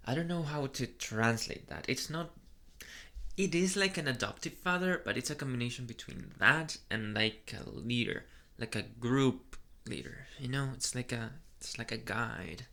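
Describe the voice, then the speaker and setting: A man voicing a shy, flustered young vampire boyfriend in a soft ASMR roleplay, hesitant and stammering with nervous energy. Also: mid-range voice, animated, moderate pace. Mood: nervous, confiding. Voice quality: soft, breathy at times.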